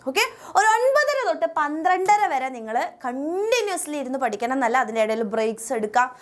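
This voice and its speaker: Female online teacher, Malayali accent, fast and very lively delivery, directive yet coaxing. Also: high-pitched. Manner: directive, coaxing, with frequent check-in tags. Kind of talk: instructional talk to pupils.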